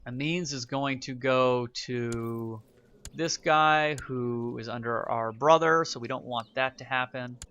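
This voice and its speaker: A man's voice: mid-range voice, slow, sharp tone, clear, resonant tone, highs cut off. Wry man narrating a strategy game. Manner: casual, wry, thinking aloud. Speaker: gaming YouTuber. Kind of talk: let's play commentary.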